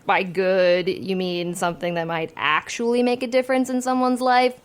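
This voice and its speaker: A woman's voice: medium pitch, steady tone, normal speed, clean tone, close to an everyday voice. A young woman playing an ambitious finance student in an audio drama, talking in a dry, self-mocking way. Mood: wry. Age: young adult.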